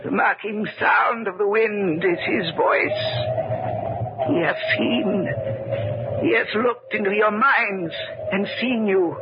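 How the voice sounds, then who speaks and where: An actor playing a fanatical servant of an unseen dark master in a 1940s radio horror, ominous, theatrical and trance-like. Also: male voice, high voice, slow pace, music in the background. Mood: entranced menace. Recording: noisy, muffled 1940s radio recording.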